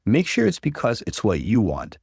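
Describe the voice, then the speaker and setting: A man voicing a supportive adult woman partner in a read-aloud story, with a gentle, reassuring manner. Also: lowish voice, wildly swooping pitch, moderate pace, creaky, husky, no echo, studio quality, heavily acted.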